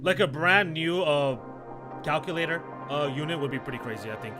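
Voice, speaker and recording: Male game streamer, voice a bit high, casual and slangy, stammers and repeats phrases, game music clearly underneath. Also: a little fast. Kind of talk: casual livestream commentary, reading game dialogue aloud.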